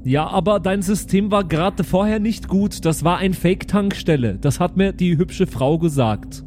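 A man playing a naive, excitable alien son in an improvised audio play, speaking childlike broken German with a repeated verbal tic. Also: sits high, moderate pace, slight background noise, lightly acted. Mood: insistent.

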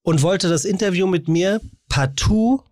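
A man's voice: sits high, a little slow, a little mellow, dry recording. Man chatting in a dry podcast recording. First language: German. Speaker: podcast host and interviewer. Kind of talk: casual podcast conversation.

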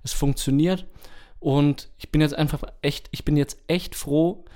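A man's voice: a little high, steady tone, a little slow, mellow. A man co-hosting a podcast, chatting casually in a rambling way sprinkled with English slang. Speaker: podcast co-host. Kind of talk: casual chat.

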